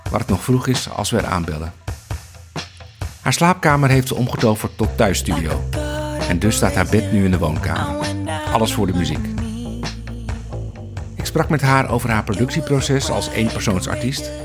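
A man with a Netherlands Dutch accent, hosting a music podcast with scripted narration and interview questions. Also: on the low side.

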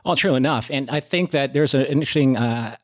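Earnest man in reflective discussion. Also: medium pitch. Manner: earnest, philosophical, measured phrasing. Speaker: podcast panelist.

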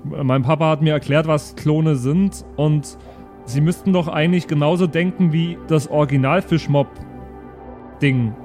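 A man voicing a naive, blunt, childlike oddball with a deadpan, literal-minded way of talking in an improvised audio play. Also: a little high, unhurried, soft background music, lightly acted. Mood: naively curious.

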